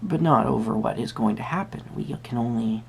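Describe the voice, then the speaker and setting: Creaky whimsical tarot reader someone acting it out, soft spoken roleplay, hums and ponders, bit of noise behind. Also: mid-range voice, natural voice. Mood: musing, gentle. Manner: hesitant, musing, pausing with hums.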